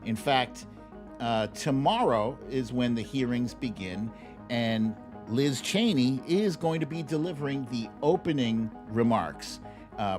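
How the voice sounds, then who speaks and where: A man with a very lively, swooping delivery hosts a casual livestream commentary. He takes his time, leaning on words playfully and pausing for drama. Some music plays behind his voice. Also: medium pitch. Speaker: host of a live political commentary show.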